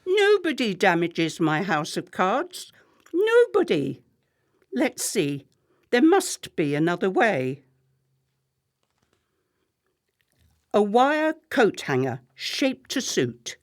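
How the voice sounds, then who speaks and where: An acid-tongued, slow-spoken wife. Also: female voice, medium pitch, huge pitch swings. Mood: fierce, commanding. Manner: sarcastic, cutting, theatrically clipped delivery. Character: acidic, contemptuous, long-married middle-class wife. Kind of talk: radio play acting.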